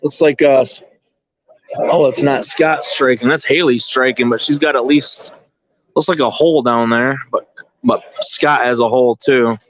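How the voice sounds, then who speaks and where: A man's voice: medium pitch, steady tone, a little slow, fairly bright tone, no echo. A man giving casual, off-the-cuff live sports commentary, informally thinking aloud as he follows the play. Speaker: bowling tournament commentator and organizer. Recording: dull, lo-fi live stream audio.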